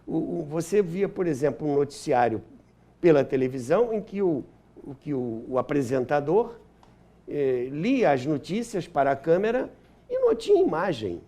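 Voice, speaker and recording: A man's voice: on the high side, big pitch swings, normal speed, warm tone. An older man with a Brazilian accent telling anecdotes and explaining history as an interview guest, repeating phrases for emphasis.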